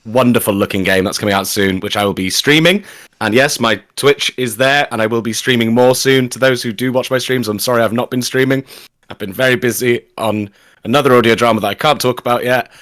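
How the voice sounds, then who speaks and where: Self-deprecating man, mid-pitched and dry. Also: brisk, a bit bright, no room sound. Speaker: guest voice actor. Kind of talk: casual livestream chat.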